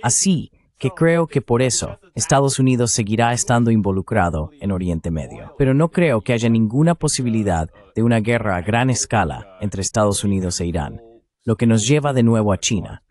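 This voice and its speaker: A man with a slightly low voice delivers geopolitical commentary. He sounds like a dubbed voice-over of a guest analyst. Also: expressive, moderate pace, a little mellow.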